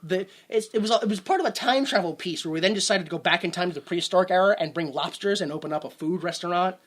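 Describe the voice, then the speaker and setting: A man's voice: high-pitched, quick pace, a bit bright. Rambling, chuckling male podcast host. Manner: rambling, laughs at his own jokes. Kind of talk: casual podcast chat.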